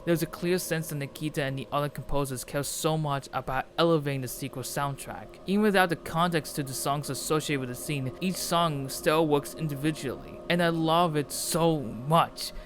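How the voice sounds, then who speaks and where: A man's voice: fairly high pitch, normal speed, few pauses, a little hiss or hum. A man reading a scripted video essay about a game in an earnest, enthusiastic way. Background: music playing under the voice.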